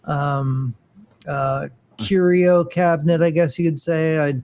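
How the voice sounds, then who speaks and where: Middle-aged male co-host, slightly high voice, eager and rambling, informal geek talk. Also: steady tone, takes it slowly, a little mellow. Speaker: co-host of a morning show. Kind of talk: casual geeky chat.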